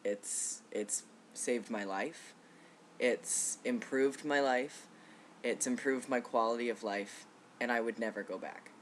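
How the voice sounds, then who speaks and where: Relaxed man in his thirties, reflective. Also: mid-range voice, slow, slight background noise. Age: 30s. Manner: relaxed, reflective, with frequent fillers and soft laughs. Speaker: vlogger. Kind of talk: casual personal video update.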